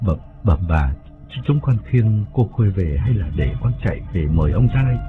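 A man's voice: low voice, animated, moderate pace. A timid young servant stammers out anxious questions, as the narrator voices him. His tone is plain and close to the storyteller's own. The sound is tinny and phone-like, with music behind. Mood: hesitant. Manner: hesitant, stammering.

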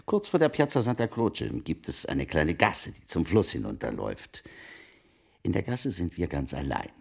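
An actor playing a wealthy, wily merchant of old Italy in a German radio play, speaking formally and deliberately in a gravelly, husky voice. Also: male voice, deep voice, very animated, exaggerated voice. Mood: confiding, intense. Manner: formal archaic phrasing, deliberate storytelling.

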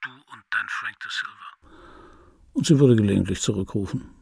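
An actor playing an embittered, wistful dubbing actor in his fifties, delivering a dry, brooding radio play monologue in a husky voice. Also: fairly low pitch, moderate pace, no echo, heavily acted. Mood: hurt, resigned. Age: 50s. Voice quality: husky, gravelly.

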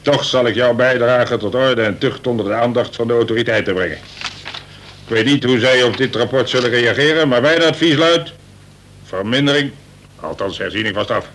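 A man playing an older prison governor, a colonel who speaks in a measured, formal and wry way, in a Dutch radio play. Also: a bit bright, natural voice. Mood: formal, magnanimous. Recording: noisy old radio recording with rumble.

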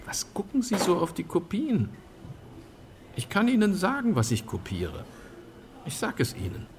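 An actor playing an irritable, menacing stranger in a radio play, curt and suspicious. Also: male voice, high-pitched, huge pitch swings, a little slow, background noise, heavily acted.

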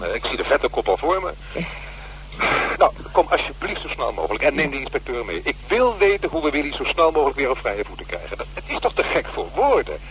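Agitated man, rattling along fast. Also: medium pitch, fairly bright tone, poor audio. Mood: indignant. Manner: rushed, exclamatory. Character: agitated, indignant man urgently summoning help. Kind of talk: radio play acting. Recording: hum and hiss of old radio recording.

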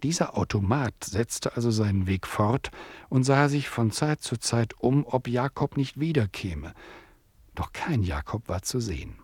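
An actor narrating a radio play as a measured, wry storyteller who addresses the listener. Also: male voice, mid-range voice, lively intonation, darkish tone, breathy edge, no room sound. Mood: wry storytelling.

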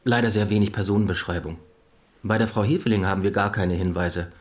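A sober, dutiful police assistant played by a man in a radio play. His voice sits fairly low and he reports in a matter-of-fact way. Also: a little fast, close to an everyday voice. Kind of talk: German radio play acting.

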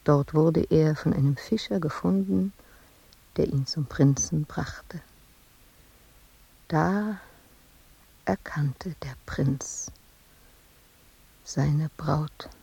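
An actress in a radio drama playing a melancholy woman sculptor who recalls her past in hushed, halting, intimate phrases. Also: low-pitched, gravelly, husky, heavily acted, rough recording. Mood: wistful, dreamy.

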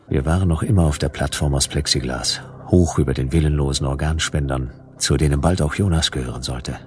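An actor playing a laconic hard-boiled detective, narrating his case in a dry, deadpan way for a German radio play. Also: very deep voice, fairly quick, a little hiss or hum. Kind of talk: noir first-person radio play narration. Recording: music bed under the voice. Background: music.

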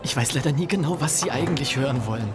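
An actor playing a polite, mild, slightly awkward young gentleman in a German radio drama. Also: medium pitch, lively intonation, fast, background noise, lightly acted. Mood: polite, gently teasing. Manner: courteous, reserved. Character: polite, mild young male café guest, awkward mediator.

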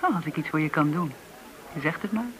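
A candid, low-voiced middle-aged woman. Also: animated, a little fast, crowd noise, rough recording. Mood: frank, concerned. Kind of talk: naturalistic radio drama acting.

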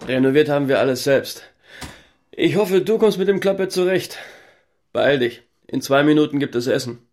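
An actor playing an easygoing young student, someone's brother, in casual radio drama dialogue. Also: male voice, on the high side, expressive, normal speed, a little mellow, lightly acted. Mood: casual, brisk.

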